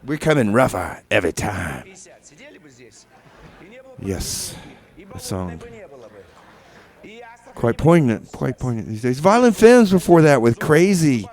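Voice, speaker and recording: A man hosting a radio show with casual, rambling DJ patter in a halting, tongue-in-cheek, mock-official manner. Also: medium pitch, very animated, darkish tone.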